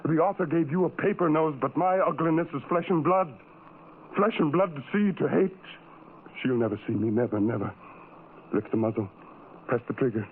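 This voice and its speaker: A man's voice: on the high side, expressive, moderate pace, natural voice. Through the narrow, faintly noisy sound of old radio comes a man acting a lovelorn, ugly outcast. He is anguished and full of self-loathing, delivering poetic lines in broken, theatrical phrases. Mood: bitter despair. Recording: muffled, noisy vintage radio recording.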